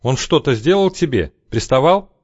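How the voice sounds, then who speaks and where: A man voicing a calm, wounded young man who talks steadily and conciliatorily. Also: mid-range voice, normal speed, husky, dry recording, highs cut off, pitched above the performer's own voice.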